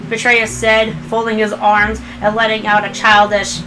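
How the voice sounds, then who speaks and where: A woman's voice: medium pitch, completely flat delivery, moderate pace, over music, poor audio. A woman reading fan fiction aloud as a narrator, in a scripted storytelling style.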